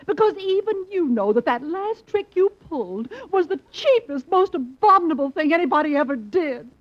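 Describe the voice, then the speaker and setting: An actress playing an indignant neighbor woman who scolds a miserly old man, in a declamatory 1950s radio drama style. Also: high voice. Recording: muffled old radio recording.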